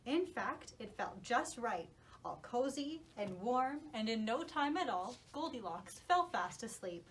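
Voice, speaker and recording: A woman with a high, very lively voice tells a children's story. She hosts like a puppet show presenter, animated and reassuring, with wide swoops in her intonation. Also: a little slow, a little hiss or hum.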